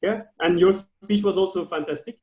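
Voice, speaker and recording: A man's voice: sits high, steady tone, moderate pace, mellow. Turkish-speaking man, lo-fi echoey call. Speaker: audience member. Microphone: video call.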